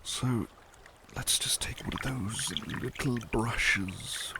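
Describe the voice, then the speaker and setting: A man playing a devoted, tender butler in an ASMR roleplay, murmuring softly and a little hesitantly to the listener. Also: mid-range voice, slow pace, background noise, natural voice. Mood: gentle, absorbed. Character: devoted, tender male butler who loves painting.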